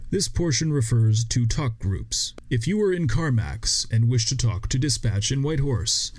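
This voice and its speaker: A man reading a scripted instructional narration in a measured, step-by-step way as a training video narrator. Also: mid-range voice, lively intonation, darkish tone.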